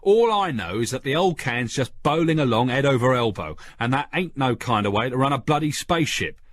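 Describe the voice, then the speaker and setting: A man's voice: mid-range voice, animated, brisk, no echo, pitched above the performer's own voice, lightly acted. A man voicing a grumbling, slangy spaceship engineer who defers to his superiors, in a sci-fi story reading. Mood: grumbling, indignant. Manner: colloquial, grousing, slangy. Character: grumbling, rough-spoken male spaceship engineer, cowed by superiors.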